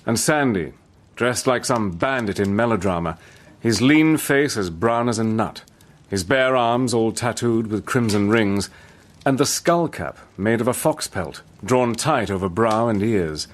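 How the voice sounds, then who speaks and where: An actor playing a composed major who narrates his wartime mission in a measured, storytelling way for a radio drama. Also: fairly low pitch, slight background noise, close to an everyday voice. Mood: reflective. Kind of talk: scripted first-person radio drama narration.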